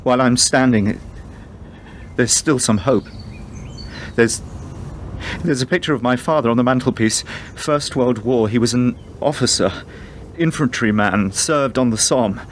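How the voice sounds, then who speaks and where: A man acting a grieving, shaken father in denial in a radio play, halting and rambling as he avoids hard news. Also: mid-range voice, slight background noise, close to an everyday voice. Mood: stunned, evasive.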